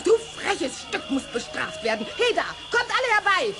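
A woman's voice: very high-pitched, very animated, normal speed, loud music behind the voice, heavily acted. A woman playing a conceited, nasty weather witch in a children's radio play, sneering and commanding. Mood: scolding, commanding. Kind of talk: vintage German children's radio play acting. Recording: old, very noisy radio play record.

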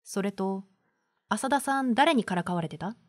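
Female primary teacher, acted by a woman: firm, measured, caring, mid-pitched, recorded dry, for a voice drama. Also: animated, no room sound, natural voice. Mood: gentle, firm. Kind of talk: voice drama acting.

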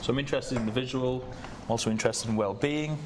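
A man's voice: medium pitch, fast talker. A man, a lecturer, talking informally on a panel in a large gallery, picked up by a distant microphone. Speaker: senior lecturer on a discussion panel. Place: large gallery room with seated audience. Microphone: distant camera microphone. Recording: noisy room, distant microphone.

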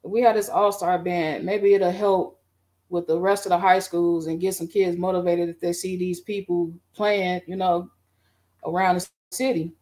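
A middle-aged woman on a video call, recounting her band history in a relaxed, rambling way with many fillers. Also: fairly low pitch, moderate pace. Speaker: guest band musician. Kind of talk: casual interview. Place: home room.

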